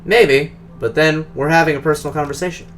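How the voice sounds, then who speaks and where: A man's voice: on the high side, fairly even intonation, fairly quick, slight background noise. A man reading a game's dialogue aloud as the player character, in a conversational, questioning way. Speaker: game streamer.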